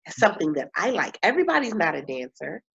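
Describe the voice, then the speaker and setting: A woman in her thirties, a coach and speaker, chatting in an interview over a video call. She talks in an animated way, laughs often and stretches words for emphasis. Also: lowish voice, fast talker, creaky, husky. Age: 30s. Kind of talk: casual interview chat. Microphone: webcam on video call. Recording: slightly muffled video-call audio.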